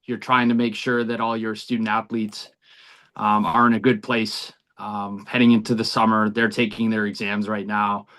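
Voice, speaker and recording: Middle-aged man, low-pitched, earnest and reflective interview guest. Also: little pitch movement, normal speed, bright, forceful tone. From United States, near Boston. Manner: earnest, reflective, many ums and you knows. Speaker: college baseball head coach.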